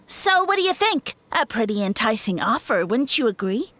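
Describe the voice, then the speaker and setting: A woman's voice: a little high, sing-song, moderate pace, fairly bright tone, no echo. A woman voicing a smug, boastful little male mushroom creature in a video game, with a pompous, theatrical, taunting delivery. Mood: pompous, persuasive.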